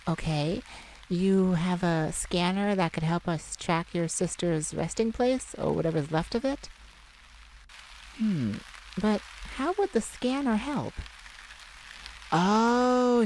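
A woman voicing a feisty, sardonic male vampire with a softer side, in a theatrical ASMR roleplay addressed to the listener. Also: lowish voice, slight background noise, rough recording. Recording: steady rain ambience behind the voice. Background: rain falling.

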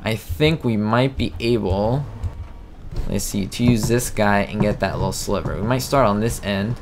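A man's voice: fairly low pitch, normal speed, slight background noise. A man giving casual, self-mocking commentary while playing a farming game, chatting to his viewers. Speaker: gameplay commentator. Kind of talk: casual let's-play commentary.

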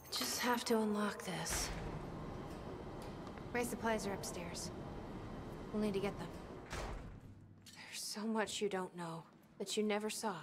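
A woman's voice: mid-range voice, a little slow, pauses often, in a large hall, lightly acted. Casting: actress as woman in her thirties, game resistance fighter, grimly resigned, restrained delivery, faint background noise. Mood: weary, guarded. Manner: grave, restrained, weighted delivery. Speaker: video game character actor. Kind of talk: cinematic video game dramatic acting.

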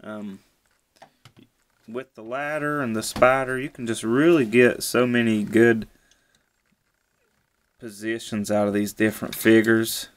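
A man casually reviewing a toy set at home, relaxed and easygoing, often repeating how cool it is. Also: fairly low pitch, unhurried, pauses often. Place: indoors at a table.

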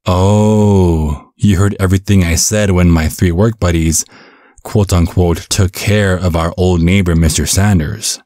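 Man as a deep-voiced, gentle vampire dad. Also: no room sound, studio quality, heavily acted. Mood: surprised, concerned. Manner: gentle, stammering, chuckling reassurance. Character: caring, bumbling vampire father, looks twenty-five, over a century old. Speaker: ASMR roleplay performer. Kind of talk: ASMR audio roleplay.

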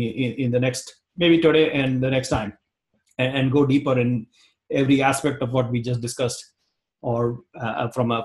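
A man in his forties with an Indian accent, teaching an online class over a video call, talking quickly and restarting phrases. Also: mid-range voice. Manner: fast-talking, repeats words, restarts phrases. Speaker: instructor. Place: home room, indoors. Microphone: webcam on video call.

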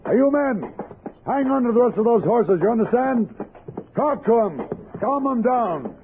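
An American army captain in a frontier radio drama. He is brisk, commanding and anxious, with a high voice that swings widely. The old recording is thin and noisy. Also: animated, narrow, tinny audio. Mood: urgent, shouting. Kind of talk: 1960s radio drama acting.

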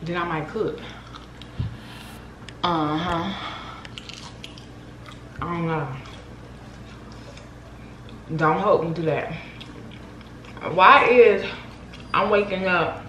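A woman's voice: fairly low pitch, steady tone, very slow, pauses often, pushed and bright, dull audio, a little hiss or hum. Chatty young woman vlogging, echoey room. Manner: chatty and candid, talks to viewers and her dog. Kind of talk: casual vlog chat. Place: apartment rooms and a parked car.

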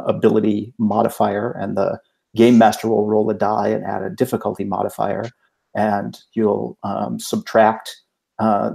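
A man on a video call explaining things in a measured, methodical way as an interview guest. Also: lowish voice, gravelly, dark tone. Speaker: game designer, podcast guest.

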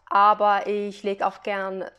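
A chatty woman in a casual product haul vlog, talking her viewers through each item. She speaks in a mid-range voice and breaks into laughter now and then. Faint music plays softly behind her. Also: mid-range voice, monotone, slow, smooth, dull audio. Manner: chatty, laughs between remarks; explains items one by one to viewers. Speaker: vlogger.

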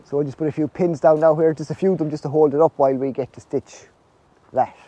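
A man's voice: on the high side, steady tone, fast talker, dark tone, dry recording, lo-fi sound. A man in his forties with an Irish accent, casually explaining farm jobs outdoors in a vlog. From Ireland. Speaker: snail farmer presenting his farm vlog. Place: outdoors under netting on a farm.

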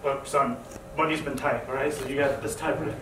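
A man in his thirties playing a pushy, excitable father in a live improv scene, recorded from far off on a roomy club stage. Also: mid-range voice, fast, lightly acted. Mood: insistent. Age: 30s. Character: pushy father with a boyish high voice. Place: small comedy club stage. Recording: roomy stage audio from far, loud audience.

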